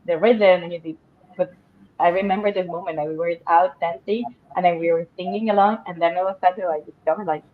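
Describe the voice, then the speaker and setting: A woman with a lowish voice chats casually in a group conversation. is her language. The sound is a little muffled, like an online call. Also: steady tone, fairly quick. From Italy. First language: Italian. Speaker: guest in a language conversation panel. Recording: dull, band-limited online call audio.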